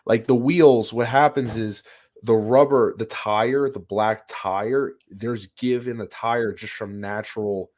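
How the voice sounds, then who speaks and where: A man with a lowish voice that is raspy while he gets over a sinus infection. He rambles through casual podcast talk, restarting sentences and leaning on "like" and "you know.". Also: slow pace. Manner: rambling, animated, many restarts and fillers. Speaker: podcast co-host.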